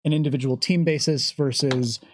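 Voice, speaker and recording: A man's voice: mid-range voice, steady tone, fairly quick, a little hiss or hum. A man chatting casually as a panelist. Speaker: game developer on a panel. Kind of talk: casual chat.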